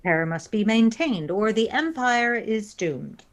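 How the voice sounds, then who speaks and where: A woman's voice: fairly high pitch, very animated. A woman playing a cold, logical female alien first officer in a science fiction audio drama, speaking formally and precisely. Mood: coolly threatening. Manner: formal, measured, precisely worded.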